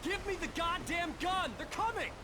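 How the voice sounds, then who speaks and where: A man's voice: extremely high pitch, moderate pace, heavy background noise. An actor playing an alarmed, frustrated male hero in a video game thriller, speaking with urgent exasperation. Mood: urgent, exasperated.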